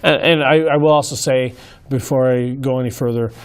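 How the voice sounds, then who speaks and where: A man hosting a casual talk show, hoarse, speaking in an earnest, folksy way with many fillers and restarts. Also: mid-range voice, steady tone, a little fast. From Minnesota, US. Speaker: host. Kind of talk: casual commentary.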